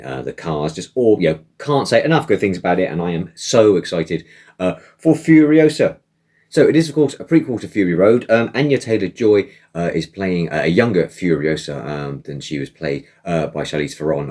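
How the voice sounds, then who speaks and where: A man with a hoarse, croaky voice, chatting casually as host with lots of ums and uhs. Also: low voice, a little mellow. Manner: many ums and uhs, rambling. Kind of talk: casual chat.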